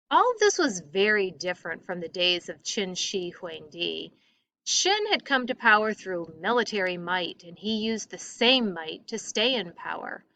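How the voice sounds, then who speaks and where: A woman teacher reading a textbook aloud to a class with deliberate, emphatic phrasing. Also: mid-range voice, sharp tone.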